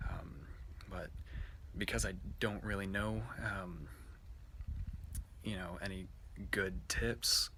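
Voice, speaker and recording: A man giving an informal personal reflection for worship, halting and hesitant as he searches for words. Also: sits low, fairly even intonation. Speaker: worship speaker. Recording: faint background noise.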